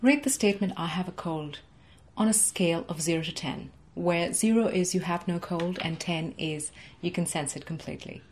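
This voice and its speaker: A young woman coaching a guided tapping session from her home office, speaking in slow, soothing, rhythmic repeated phrases for the listener to echo. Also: on the low side, darkish tone, a little hiss or hum. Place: home office with framed certificates, indoors.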